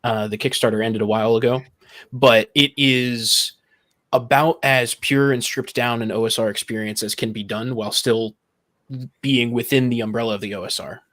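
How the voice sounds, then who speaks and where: A man's voice: medium pitch, steady tone, moderate pace, a bit bright. A man speaking as a podcast guest, explaining his opinions in a casual panel discussion.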